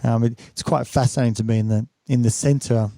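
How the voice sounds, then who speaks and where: A man's voice: mid-range voice, steady tone, brisk, creaky, mellow, no echo, clean studio sound. A middle-aged man hosting a podcast interview, reflecting aloud with many restarts and repeated words. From Australia. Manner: frequent repetitions and restarts, musing. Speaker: podcast host.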